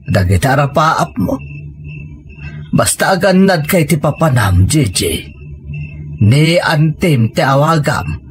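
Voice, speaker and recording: Man acting humble, doting Ilocano village father, animated. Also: on the high side, slow pace, long pauses, faint music behind. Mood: resigned, caring. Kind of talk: audio comedy-drama acting.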